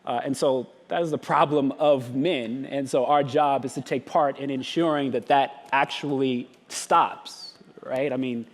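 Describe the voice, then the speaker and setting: A man's voice: mid-range voice, fairly even intonation, a little slow. A man speaking as a panelist at a live conference discussion, earnest and thoughtful, with frequent fillers and restarts. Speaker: nonprofit founder, panelist. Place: conference hall. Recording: long hall reverb.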